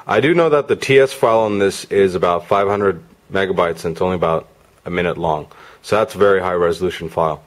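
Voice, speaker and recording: A man's voice: deep voice, fast. A man giving a casual hands-on gadget demo in an informal, off-the-cuff way. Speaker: product reviewer. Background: music from demo videos on a player.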